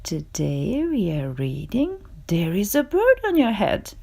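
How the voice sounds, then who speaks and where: A woman reading a picture book aloud for children in an expressive storytelling style. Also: medium pitch, vocal fry. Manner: slow, expressive delivery for children. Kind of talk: picture-book read-aloud with acted characters.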